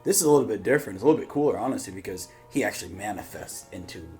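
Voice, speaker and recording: A man's voice: lowish voice, animated, racing, dark tone, breathy edge. A man hosting a reaction show, chatting casually. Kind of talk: casual chat. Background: music.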